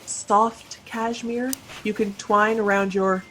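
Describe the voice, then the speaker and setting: A woman's voice: medium pitch, steady tone, takes it slowly, fairly bright tone, slight background noise. A woman voicing a devoted shapeshifting spaceship companion in a sci-fi satire, languid, silky and coaxing. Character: soothing, devoted female shapeshifting ship companion. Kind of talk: comic sci-fi audio drama acting.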